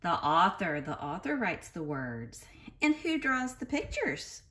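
A woman reading a picture book aloud to young children like a teacher, expressive and carefully spoken, with explanatory asides and questions. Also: on the low side, a little slow.

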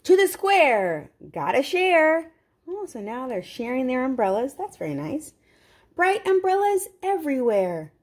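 A woman's voice: high voice, very animated, measured pace. A woman reading a picture book aloud to young children, expressively, with simple explanatory asides. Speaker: children's read-aloud host.

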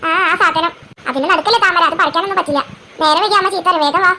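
A performer voices a boastful cartoon village girl with an extremely high voice. She rattles off instructions, sounding like a know-it-all elder sister. A faint noise sits behind the recording. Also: very fast, pushed and bright, full character voice. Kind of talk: amateur cartoon dubbing.

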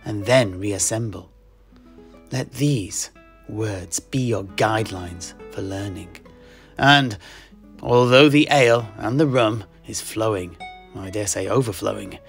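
An older man hosting an audio drama in character as a tavern keeper, genial and lecturing. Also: mid-range voice.

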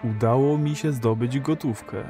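An actor playing a nervous, naive first-time client in an underground, hesitant and earnest, in an audio drama. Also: male voice, mid-range voice, normal speed, dark tone, soft background music, close to an everyday voice. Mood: tentative. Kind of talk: radio drama acting.

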